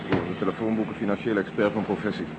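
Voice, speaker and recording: A man's voice: on the low side, fairly even intonation, rapid-fire, lightly acted. An actor playing a businesslike, dryly wry male police inspector in a radio play, speaking Netherlandic Dutch in a commanding way. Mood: brisk, commanding. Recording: noisy old radio play recording.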